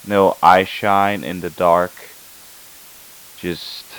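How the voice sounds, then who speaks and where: A man playing a weary, uneasy night-shift scientist, recording a halting, clinical yet introspective audio log for an analog horror drama. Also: low voice, low-quality recording. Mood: uneasy, reflective. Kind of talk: scripted found-footage horror audio log. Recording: hissy analog tape with static.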